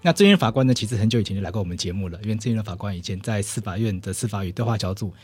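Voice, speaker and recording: A man's voice: fairly low pitch, rapid-fire, darkish tone, slightly rough, soft background music. A man with a Taiwanese Mandarin accent hosting a conversational podcast interview, explaining things in a chatty, wordy way. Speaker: podcast host.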